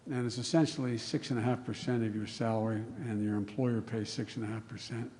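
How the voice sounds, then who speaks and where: A man fields questions as a candidate, talking off the cuff and circling back to restart his sentences. His voice is mellow and sits in the middle range. The sound carries a long reverb from a large hall. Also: medium pitch, fairly even intonation, a little fast, slight background noise. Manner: rambling, restarts mid-sentence. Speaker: candidate at a town-hall roundtable. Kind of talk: off-the-cuff campaign answers.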